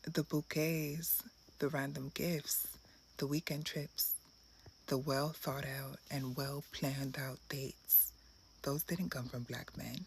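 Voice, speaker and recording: A woman delivers dating advice in a firm, lecturing manner. Her voice has a gravelly fry to it. Steady background noise runs under the clip. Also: extremely low pitch, slow pace, poor audio. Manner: lecturing, emphatic.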